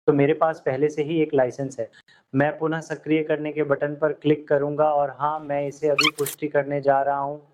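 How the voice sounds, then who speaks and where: A man teaching accounting software step by step, in steady instructional tones over a screen recording. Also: fairly high pitch. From India. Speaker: software tutor. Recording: dull lo-fi audio.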